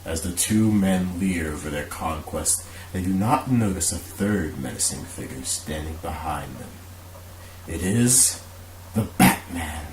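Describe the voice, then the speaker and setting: A man's voice: sits low, lively intonation, measured pace. A man giving dramatic scripted narration as the narrator of a radio play. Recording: roomy echo, faint hiss.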